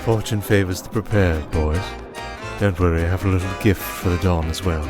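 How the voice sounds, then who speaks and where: Man acting as a deep-voiced, commanding vampire archduke. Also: fairly even intonation, over music, natural voice. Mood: smooth, assured. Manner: measured, formal, condescending. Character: cold, commanding male vampire archduke, crime lord. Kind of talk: ASMR roleplay audio drama.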